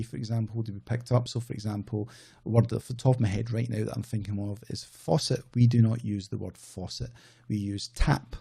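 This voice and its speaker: Gravelly Scottish man chatting to camera. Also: medium pitch, dark tone. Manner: rambling, self-correcting, conversational. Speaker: outsourcing business channel host. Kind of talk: casual talk to camera.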